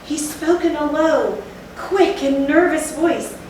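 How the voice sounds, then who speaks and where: A woman performs a shaken witness telling a gothic horror tale on stage. Her high voice is emphatic and suspenseful. She sounds distant from the microphone in a heavily reverberant room. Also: takes it slowly, a little mellow. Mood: tense dread. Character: shaken gothic storyteller recounting a horror, later giddy victim. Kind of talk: dramatic readers' theatre narration. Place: echoey indoor theatre, live stage reading. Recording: very echoey hall, distant mic, faint noise.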